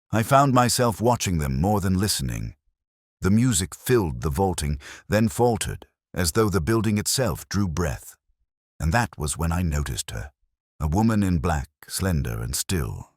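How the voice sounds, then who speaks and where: Male narrator, extremely low pitch, lively intonation, measured literary delivery, scripted audiobook mystery, dry sound. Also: no echo.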